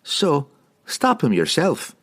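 A cheerful, steady woman adventurer from a fantasy storybook, as a male reader puts her on. She stays stubbornly upbeat, with a lifted pitch that moves in very lively swings. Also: slow pace, slightly rough, full character voice. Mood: amused. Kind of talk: fantasy audiobook character voice.

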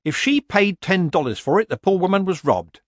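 A man's voice: high-pitched, sing-song, normal speed, dry recording, studio quality, voice pushed up. A man voicing a blunt, earthy old witch woman in an audiobook story, plain-spoken and colloquial. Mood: dry, shrewd.

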